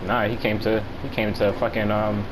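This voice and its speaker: A man's voice: lowish voice, little pitch movement, moderate pace. An American man telling stories in casual, profane slang with an offhand delivery. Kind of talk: casual storytelling chat during gaming. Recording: noisy game audio with gunfire. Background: video game gunfire and vehicle sounds.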